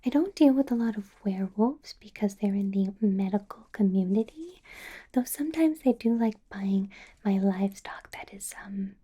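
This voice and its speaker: A woman acts out a female vampire boss in an ASMR roleplay, whispering in a soft, dark, breathy voice. She is possessive and doting, coaxing the listener with an undercurrent of menace. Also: medium pitch, natural voice. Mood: coaxing, confiding. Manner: slow, coaxing, with small chuckles and pauses.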